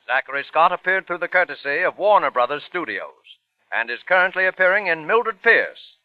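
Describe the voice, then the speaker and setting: A high-voiced American man announces a 1940s radio thriller with animated, portentous drama. The broadcast sounds narrow and old, and music plays behind him. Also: a little fast, no echo. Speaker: program announcer.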